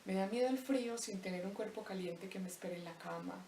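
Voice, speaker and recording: A young woman from Colombia with a Paisa accent, slowly reciting a reflective spoken-word poem with deliberate pauses. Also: medium pitch, dark tone, slight background noise. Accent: Paisa Colombian Spanish. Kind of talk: spoken-word poetry monologue. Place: empty abandoned house. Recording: roomy echo, voice distant from mic.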